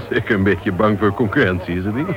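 An actor playing a chatty, genial club member in a Dutch radio play. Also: male voice, lowish voice, animated, a little fast, close to an everyday voice. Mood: genial, teasing. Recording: dull old radio recording.

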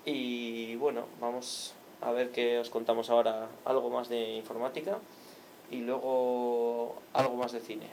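Gravelly casual radio host. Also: male voice, mid-range voice, flat delivery, a little slow, darkish tone, slight background noise. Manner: hesitant, many fillers and restarts.

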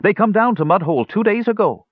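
Man voicing high, eager gully dwarf, broken speech, husky edge. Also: lively intonation, fast talker, dry recording, narrow, tinny audio. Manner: broken childlike grammar.

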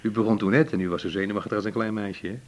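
A man's voice: lowish voice, fairly even intonation, racing, lightly acted. An actor playing a chatty, forward male patient in a radio drama, rambling and jocular with many hesitations. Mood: chatty, awkward. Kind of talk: naturalistic radio drama dialogue.

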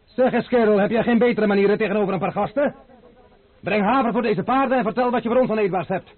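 An actor playing a bold, hot-tempered gentleman traveler in a radio play who talks in a brash, commanding way. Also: sits high, quick pace, close to an everyday voice. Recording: old phone-like noisy radio recording.

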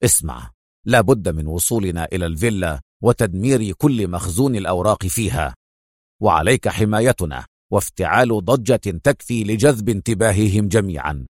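Man voicing a stern, deep-voiced wounded hero. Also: animated, fairly quick, no room sound, clean studio sound. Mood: resolute. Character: stern wounded male hero, resolute, curt, angry.

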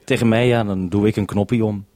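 A man's voice: fairly low pitch, fairly even intonation, a little fast, a little mellow, dry recording. Een man die als radiopresentator onvoorbereid en wat zoekend een radioverbinding leidt, informeel van toon. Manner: informal, hesitant, uses radio procedure. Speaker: radio presenter running the link. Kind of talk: unscripted radio hosting.

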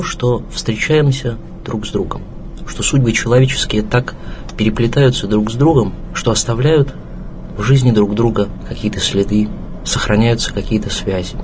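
A man giving a reflective spoken tribute in measured, solemn phrases with pauses. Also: fairly low pitch. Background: music behind the voice.

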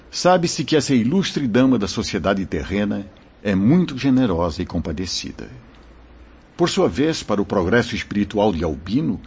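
An actor playing a wise, kindly spirit mentor in a radio drama, speaking in a solemn, formal, explaining way with a husky voice. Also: male voice, on the low side, darkish tone, exaggerated voice. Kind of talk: radio drama acting, declamatory. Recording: muffled lo-fi radio drama recording.

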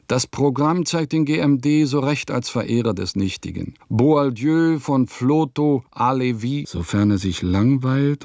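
A man's voice: lowish voice, darkish tone, dry recording, studio quality. A man playing an eccentric nineteenth-century composer reading out his diary in clipped fragments for a radio play.

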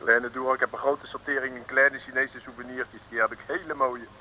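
A man's voice: medium pitch, flat delivery, fairly quick, pushed and bright, a little hiss or hum, rough recording. An actor playing a sly, wheedling male shopkeeper in an old radio comedy, speaking in broken, put-on Dutch. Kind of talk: 1950s radio comedy acting.

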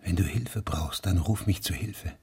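An actor playing a grave, persistent investigating judge in a German radio play, questioning insistently in a husky, breathy voice. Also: male voice, low-pitched, unhurried, a little mellow, heavily acted. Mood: quietly insistent.

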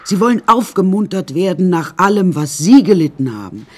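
A woman's voice: fairly low pitch, sing-song, normal speed, darkish tone. A woman playing an eccentric painter who tells her troubled life in a wry, rambling, matter-of-fact way, as radio drama narration. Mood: wry, reflective.

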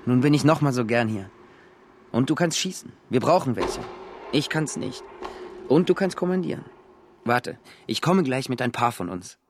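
Actor as an eager young fighter amid loud noise. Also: male voice, mid-range voice, animated, normal speed, close to an everyday voice. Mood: excited, glad. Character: eager, grateful young male revolutionary fighter. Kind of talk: German radio drama acting. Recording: noisy battle ambience behind voice.

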